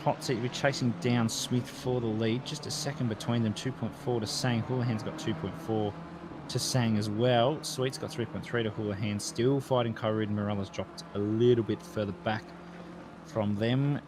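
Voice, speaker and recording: A man's voice: fairly low pitch, steady tone, moderate pace, noisy background. Australian man calling a sim race. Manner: running through gaps between cars, lifting with the action. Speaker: race commentator. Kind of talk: sim racing commentary.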